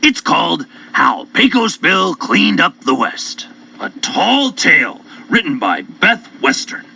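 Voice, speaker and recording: A man narrating children's stories in a playful, theatrical, drawn-out way. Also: fairly high pitch, sing-song, gravelly, bright, forceful tone. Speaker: storyteller. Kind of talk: animated children's story narration. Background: music.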